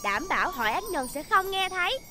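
A woman voicing a clever, friendly little girl inventor in an animated cartoon. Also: very high-pitched, brisk, noisy background. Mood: earnest. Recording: music behind.

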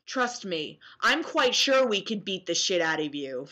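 A young American woman reacting casually to comments she reads aloud, sarcastic and animated, at home on a webcam mic. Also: medium pitch, unhurried. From New Jersey, US. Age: young adult. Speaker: vlogger. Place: small room at home, indoors.

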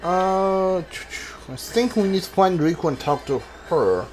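A man giving casual video game commentary, halting and mumbling as he reads text from the screen. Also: high voice. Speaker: gaming streamer. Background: video game music.

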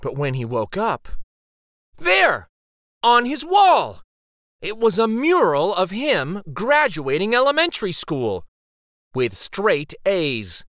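A man narrates a children's story in a dramatic read-aloud style. He takes his time, leaving theatrical pauses for suspense. His pitch moves widely, and the sound is dry. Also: wildly swooping pitch, fairly bright tone, no room sound. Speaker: picture book read-aloud host.

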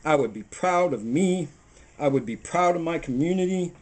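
Animated middle-aged man preaching in his kitchen. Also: fairly high pitch, moderate pace. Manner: preachy, animated, talks while working with his hands. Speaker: home cooking show host. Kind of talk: rambling casual monologue while baking. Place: small home kitchen, indoors. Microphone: camera mic on the table a few feet away.

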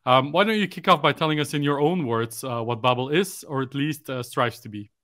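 A man in his forties, a native Dutch speaker, hosting an interview podcast over a video call with a headset. He speaks in a measured way, starting many phrases with "uh". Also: mid-range voice, a bit bright, no room sound, studio quality. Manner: measured, fills pauses with "uh". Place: room at home, indoors.

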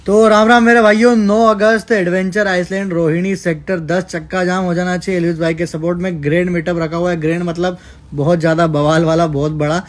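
A man in a selfie video forcefully urging fans to show up. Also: sits high, level tone, a little fast. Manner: forceful, hyping crowd.

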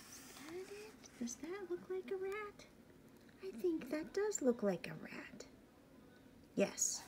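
A woman reading a picture book aloud to young children, gently and often hushed, asking the listener questions as she goes. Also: high voice, background noise. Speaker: bedtime story reader.

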